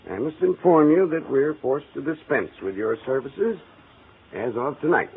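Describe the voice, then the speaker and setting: An actor playing a stiff, evasive office boss in an old radio drama, formal and fussy. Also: male voice, mid-range voice, sing-song, moderate pace. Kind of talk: 1940s radio acting. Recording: tinny, hissy vintage radio recording.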